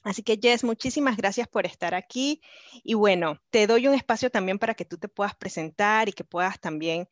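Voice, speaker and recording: A woman's voice: medium pitch, fairly quick, no echo, dull audio. A woman hosting a casual podcast interview, introducing and thanking her guest in a gushing way. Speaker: podcast host.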